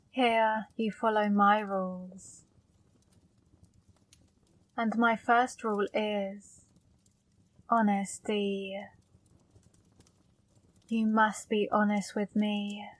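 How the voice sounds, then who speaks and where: Casting: woman voicing a seductive female demon for ASMR, slow and level delivery, dry close sound. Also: mid-range voice, little pitch movement, no room sound. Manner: slow, soft, commanding. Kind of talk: ASMR roleplay.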